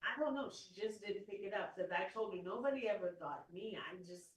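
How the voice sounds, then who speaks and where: A woman, a student, talking casually in quick bursts that trail off. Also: mid-range voice, reverberant, rough recording.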